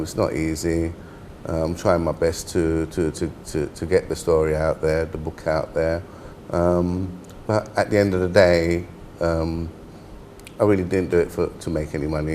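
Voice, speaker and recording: A man's voice: rumbling low, level tone, moderate pace, a little mellow, a little hiss or hum. A middle-aged man, an author, answering interview questions hesitantly, often repeating words before he finishes.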